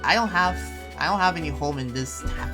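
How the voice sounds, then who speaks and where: A man playing an earnest, preachy but friendly town leader in an anime roleplay, speaking in a halting, informal way. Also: fairly high pitch, lightly acted. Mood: apologetic, friendly. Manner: halting, rambling, informal advice-giving. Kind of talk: improvised Minecraft anime roleplay. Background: music under the voice.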